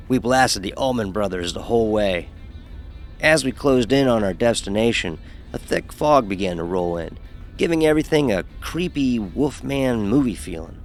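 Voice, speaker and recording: A low-voiced man acting as a young fraternity pledge tells his story in an audio horror drama. He is wry and sardonic, and music plays quietly beneath him. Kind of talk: scripted first-person horror narration with dialogue.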